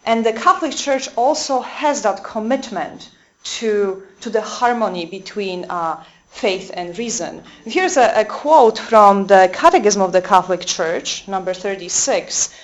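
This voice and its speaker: Polish-accented woman, a nun speaking to a room, hesitant and searching for words, middle pitch, slightly dull recording. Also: measured pace. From Poland. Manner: hesitant, many fillers and restarts. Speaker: Dominican sister giving a talk. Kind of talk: lecture talk to a small audience. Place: convent room with a live audience.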